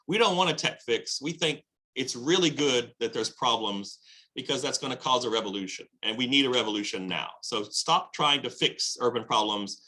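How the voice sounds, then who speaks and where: A man lecturing on world history, with lively emphasis and frequent fillers and restarts. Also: medium pitch. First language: English. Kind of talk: recorded lecture.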